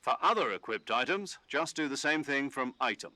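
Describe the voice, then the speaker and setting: A man voicing a calm commanding officer who gives instructions over the radio in a game. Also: mid-range voice, no echo. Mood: steady. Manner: measured, instructive briefing tone. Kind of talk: game dialogue.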